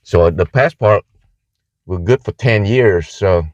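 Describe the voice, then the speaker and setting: A man from Vietnam with a Vietnamese accent, explaining things informally and haltingly as a vlogger in a casual talking-head video. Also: on the low side. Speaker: travel vlogger. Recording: muffled, echoey room sound.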